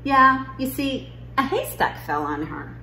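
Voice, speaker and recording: A woman's voice: high voice, very animated, a little slow, bright, forceful tone, pitched above the performer's own voice, heavily acted. A woman reading a children's picture book as a nonchalant young schoolgirl, matter-of-factly recounting a farm trip. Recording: roomy living room with noise.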